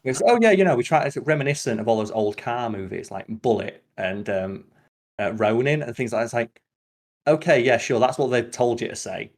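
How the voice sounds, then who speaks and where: A man's voice: medium pitch, lively intonation, brisk. A man chatting casually as a podcast host, blunt and opinionated, with plenty of swearing.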